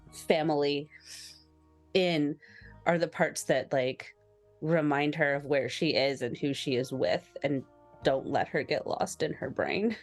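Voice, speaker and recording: Tabletop player, unhurried and halting, informal thinking-aloud delivery over light background music. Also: medium pitch. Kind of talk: casual out-of-character chat about a character.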